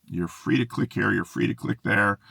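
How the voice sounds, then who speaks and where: Middle-aged male pastor, low gravelly voice, rambling excitable monologue, dry car sound. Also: moderate pace, dry recording. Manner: musing, self-interrupting, excitable. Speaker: pastor and video host. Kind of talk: unscripted monologue to camera. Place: inside a parked car in a closed garage. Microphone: camera in the car.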